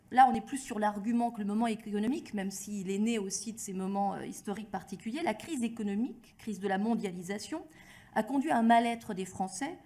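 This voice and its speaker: A woman speaking as an expert witness at a hearing, in a formal, measured and articulate way as she builds an argument. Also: on the high side, mellow. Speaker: academic expert giving testimony at a hearing. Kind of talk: formal prepared address with spontaneous phrasing.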